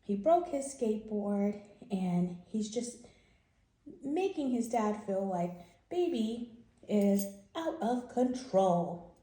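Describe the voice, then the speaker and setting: Expressive woman storyteller in an echoey room. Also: medium pitch, takes it slowly. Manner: simple explaining, repeats and rephrases for children. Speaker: children's storybook read-aloud host. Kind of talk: read-aloud storytelling with explanatory asides to children.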